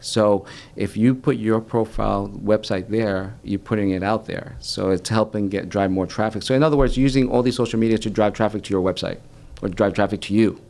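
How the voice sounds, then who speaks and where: A man's voice: on the low side, brisk, gravelly, darkish tone. A man originally from El Salvador, a native Spanish speaker, talking casually as an expert guest in a radio interview and repeating phrases for emphasis. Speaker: guest expert on digital marketing.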